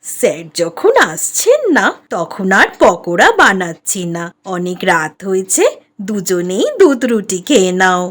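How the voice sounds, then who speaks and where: A woman's voice: mid-range voice, sing-song, measured pace. A woman voicing a caring but firm mother in a cartoon, scolding and coaxing with emphasis. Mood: firm, placating. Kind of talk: Bengali cartoon voice acting.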